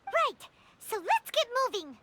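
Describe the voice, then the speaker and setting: A woman voicing a small excitable fairy companion girl in a video game, exclaiming with eager wonder. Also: extremely high pitch, unhurried, exaggerated voice. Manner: exclaiming, wide-eyed and eager. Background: loud game music.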